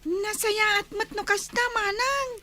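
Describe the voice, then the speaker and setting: A woman's voice: extremely high pitch, steady tone, unhurried, pushed and bright, slight background noise, full character voice. A woman playing an eager, helpful young girl, a younger sister, in a radio drama. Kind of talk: Ilocano radio drama acting.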